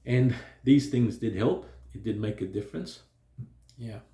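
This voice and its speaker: A man with a stutter speaks slowly to camera, pausing and restarting often. His low voice is gravelly and mellow. The sound bounces around an echoey room. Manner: slow and hesitant, with frequent fillers and restarts. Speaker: vlogger. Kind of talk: reflective personal talk to camera.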